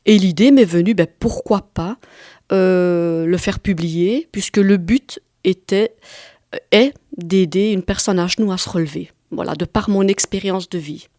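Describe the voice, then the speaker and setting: A woman's voice: on the low side, unhurried, dark tone, dry recording, studio quality. A woman in her forties with a Belgian French accent, answering interview questions conversationally and hesitantly as she searches for words. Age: 40s. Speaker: guest author and artist.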